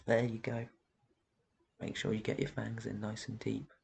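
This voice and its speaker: An ancient vampire master, acted out by a man, with a low voice full of gravelly creak. He speaks in a gentle, protective and soothing way, as in an intimate roleplay ASMR. His delivery stays very even, with slight noise behind it. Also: normal speed, heavily acted. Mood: gentle, encouraging. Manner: soft shushing, reassuring, tender endearments. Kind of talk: roleplay ASMR, intimate one-sided dialogue.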